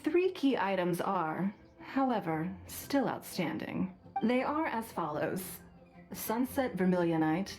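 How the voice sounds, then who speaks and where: An actress playing a poised, shrewd noblewoman in a video game, speaking in a formal, measured, authoritative way. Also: mid-range voice, sing-song, darkish tone, soft background music. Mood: composed. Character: poised, shrewd, wealthy noblewoman and business leader.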